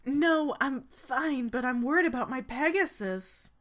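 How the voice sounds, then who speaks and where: High-voiced young lady knight, played by a woman. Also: a little slow. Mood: downcast, grateful. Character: friendly, teasing young female pegasus knight. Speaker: fan dub voice actor. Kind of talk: acted game dialogue.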